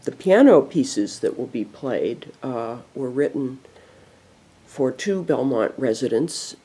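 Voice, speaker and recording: An interviewer asking questions in a slow, hesitant way. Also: medium pitch, expressive, dark tone.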